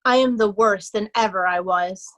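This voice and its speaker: A woman's voice: medium pitch, normal speed, fairly bright tone, natural voice. A woman playing a sorrowful young nobleman who feigns a mad beggar, shifting between anguish and singsong madness in a Shakespeare reading. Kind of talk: remote readers' theatre Shakespeare performance.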